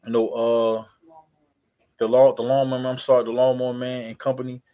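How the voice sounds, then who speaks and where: A man with an American accent chatting casually as a livestream host, in a halting, repetitive, rambling way full of fillers. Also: mid-range voice, level tone, warm tone, smooth. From New Jersey, USA.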